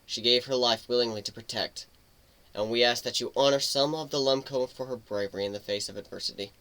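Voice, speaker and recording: A man plays a gruff griffin who leads his crew with solemn, emphatic oratory. The voice is mid-pitched, very bright and forceful, recorded dry for a fantasy storybook reading. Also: fast talker, no room sound, close to an everyday voice, low-quality recording. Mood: wistful. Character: gruff, rousing male griffin crew leader. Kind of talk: dramatic reading of fan fiction.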